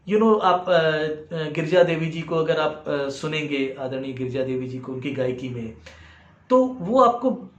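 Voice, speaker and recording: Slow, high-voiced Indian man teaching. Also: muffled recording. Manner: halting, reflective, peppers talk with English phrases. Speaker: music teacher. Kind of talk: explanatory music lesson to camera. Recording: roomy, echoing sound.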